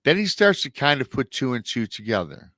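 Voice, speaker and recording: A man's voice: medium pitch, very animated, brisk, fairly bright tone, no room sound, studio quality. A middle-aged man hosting a live show, retelling a strange encounter story in a chatty way, sounding nasal and a little scratchy from a lingering illness. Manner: chatty asides to viewers. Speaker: paranormal show host.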